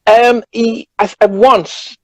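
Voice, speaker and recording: A man's voice: very high-pitched, little pitch movement, takes it slowly, fairly bright tone, no room sound. A man with a British accent chatting casually as a guest, hesitant and repeating words as he thinks. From United Kingdom. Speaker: guest, journalist. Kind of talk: casual chat.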